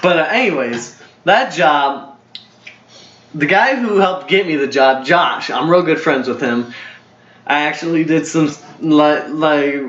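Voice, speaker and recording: A man's voice: on the high side, a bit bright. Rambling young man in a roomy room. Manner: rambling, full of fillers and self-corrections. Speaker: storyteller host. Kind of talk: casual storytelling chat. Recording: roomy, dull lo-fi home recording.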